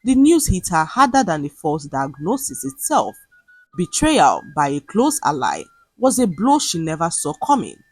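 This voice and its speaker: Husky woman storyteller with lively, swooping intonation. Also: lowish voice, no room sound. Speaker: storyteller, narrator of folktales. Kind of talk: scripted dramatic story narration.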